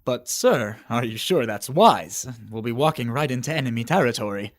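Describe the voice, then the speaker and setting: A confident alien warrior serving as an aide in an animated story, played by a man. The pitch is average for a male. The delivery is earnest, measured and self-assured. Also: natural voice. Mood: deferential. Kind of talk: amateur animation voice acting.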